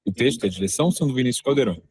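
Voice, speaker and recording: A man's voice: medium pitch, animated, rapid-fire, darkish tone. A man presenting a podcast, reading its credits as scripted narration.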